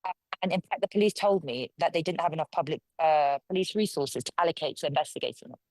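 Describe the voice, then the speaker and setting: A woman's voice: deep voice, fast talker, a little mellow, no room sound, low-quality recording. A woman from London, a local councillor, giving forthright, conversational answers as an interview guest. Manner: forthright, conversational, speaks her mind.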